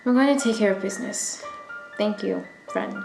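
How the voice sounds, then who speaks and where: An actress playing a desperate, lonely, nervous young woman in an audio drama, with halting, emotional delivery. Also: medium pitch, creaky, lightly acted. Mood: nervous, resolved. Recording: roomy recording under loud music.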